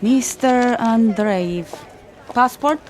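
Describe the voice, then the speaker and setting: An actress playing a brisk, officious airline check-in agent in a radio drama, formal and businesslike. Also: female voice, on the high side, a little mellow, faint music behind.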